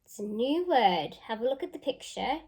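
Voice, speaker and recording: A woman teacher reading a picture book aloud to children, expressive and pausing to put questions to listeners. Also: on the high side.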